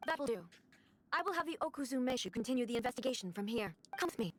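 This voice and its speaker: An actress voicing a stern, composed female ruler in a video game, speaking formally and with measured command. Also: a little high, expressive. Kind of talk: scripted video game voice acting. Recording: game dialogue over background music.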